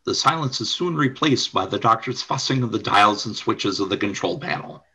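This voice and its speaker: Animated male narrator, bright and slightly husky. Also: on the low side, moderate pace. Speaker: narrator and announcer. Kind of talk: scripted radio play narration.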